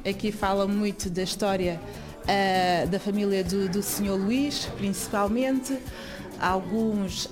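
A young woman from Guinea-Bissau speaks as a volunteer giving an informal guided explanation. Her pitch is average, and she repeats phrases for emphasis. Music plays clearly behind her. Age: young adult. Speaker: association volunteer.

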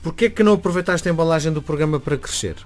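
A man's voice: a little high, very fast. A man with a European Portuguese accent hosting a conversational radio interview.

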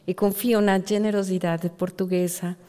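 A woman from Mexico reads a prepared talk in a measured, deliberate way, with a Mexican Spanish accent from her native Spanish. Her voice sits at an ordinary middle pitch. A long hall reverb surrounds her words. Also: level tone, warm tone. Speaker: writer and anthropologist.

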